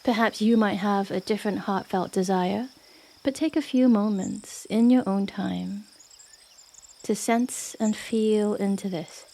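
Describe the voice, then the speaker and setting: A woman guiding a yoga nidra meditation in a gentle, unhurried manner with long pauses. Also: mid-range voice, creaky. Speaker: meditation guide. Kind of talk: guided yoga nidra meditation, soothing narration.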